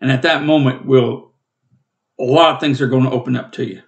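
A man in his late fifties with a rough, coughing throat, giving a rambling, plainspoken Bible study from home over a webcam. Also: mid-range voice, steady tone, fairly quick, muffled recording. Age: 50s. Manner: rambling, plainspoken, self-corrects. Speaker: Bible teacher and channel host. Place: small room at home, indoors.

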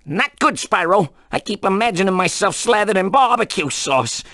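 A man's voice: sits high, animated, moderate pace, fairly bright tone, no room sound, clean studio sound. A man voicing a nervous, dramatic talking pig in a cartoon video game. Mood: anxious. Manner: anxious, dramatic. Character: nervous, fretful talking pig, a captive on vacation.

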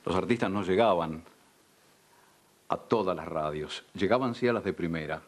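An older Argentine man, a veteran radio announcer, reminiscing in a measured, polished way in a studio interview. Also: low-pitched. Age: older, around sixties. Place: dark television studio.

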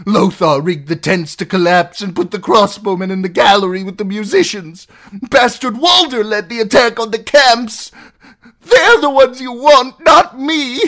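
A man voicing a cowardly captive lordling in an audiobook, shrill and frantic, stammering excuses and pleas. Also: animated, slow pace, fairly bright tone, exaggerated voice. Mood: frantic self-excusing. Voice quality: shrill, squealing. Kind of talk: audiobook character acting.

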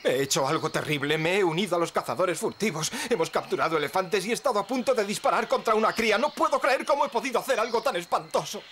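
A remorseful poor farmer and father in a cartoon. He pleads in anguish with a highish, swooping voice, over clear background noise. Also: animated, fast, hardly any pauses, fairly bright tone. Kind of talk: animated cartoon dub.

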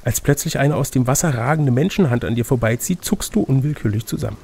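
A man's voice: mid-range voice, expressive, fast talker, gravelly, darkish tone, slightly rough. A man acting as reader and game master, reading an adventure gamebook aloud in a conversational way.

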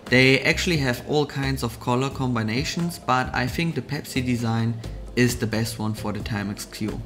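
A man talks through watch picks in a scripted vlog voice-over at an everyday pitch. He explains each option with keen, explanatory enthusiasm. Soft background music sits under his voice. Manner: enthusiastic, explanatory product commentary. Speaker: vlogger, watch channel host.

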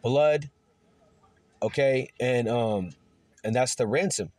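A man teaching from scripture in a rambling way, full of fillers and rhetorical questions, reading verses and articles aloud haltingly. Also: mid-range voice, darkish tone, no room sound. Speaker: preacher. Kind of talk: screen-recorded Bible teaching.

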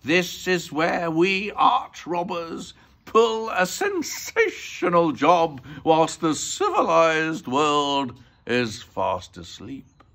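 Here is a pompous storybook burglar, performed by a man, self-important and easily rattled. He stretches his words grandly and very slowly. The pitch leaps about in a highly animated way. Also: creaky, heavily acted. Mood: boastful. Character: Luther, theatrical male art thief, self-important and jittery.

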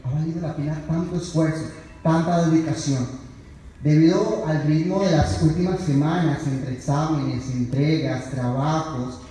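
Young guy giving a grad speech, highish mellow voice, earnest, big echoey hall. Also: steady tone, unhurried. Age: young adult. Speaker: graduating psychology student giving a speech. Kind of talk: prepared graduation speech read at a podium. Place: large university auditorium, indoors. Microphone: podium microphone, picked up by distant audience camera. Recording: echoey hall from far off, faint noise.

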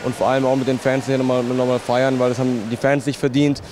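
A man's voice: medium pitch, little pitch movement, fast talker, darkish tone. A man in his thirties giving an earnest interview answer outdoors into a handheld microphone. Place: outdoors in town. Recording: faint outdoor street noise.

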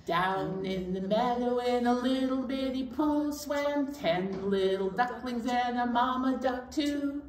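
A middle-aged woman presenting storytime to young children, animated and encouraging as she talks and leads action songs. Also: on the high side, slow, hardly any pauses. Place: indoor library storytime set. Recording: roomy, distant mic, faint noise.

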